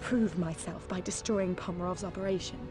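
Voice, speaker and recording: An actress playing a poised, calculating female criminal mastermind in a cinematic video game, speaking in a composed, measured way. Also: lowish voice, steady tone, over music, lightly acted. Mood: confessional, resigned.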